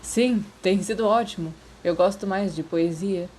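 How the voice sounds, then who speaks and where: A woman's voice: mid-range voice, a little mellow, a little hiss or hum, lightly acted. A woman with a Brazilian accent voicing a nervous, earnest, bookish schoolboy in a story, with hesitant speech. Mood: anxious, brave. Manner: hesitant, halting.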